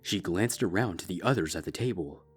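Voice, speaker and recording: Deep-voiced male story narrator. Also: lively intonation, brisk, breathy edge, faint music behind. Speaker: fanfic reader. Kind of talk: scripted narration.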